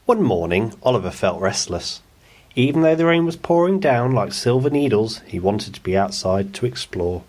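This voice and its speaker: A man's voice: on the low side, animated, moderate pace, darkish tone. A man narrating a children's bedtime storybook as the story reader.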